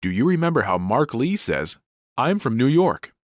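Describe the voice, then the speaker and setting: A man in the middle of his pitch range narrates a language lesson. His voice rises and falls with lively movement as he explains each point clearly, recorded close on a dry studio mic with no room sound. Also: normal speed, dry recording. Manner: clear, explanatory teacher delivery. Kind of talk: scripted grammar lesson narration.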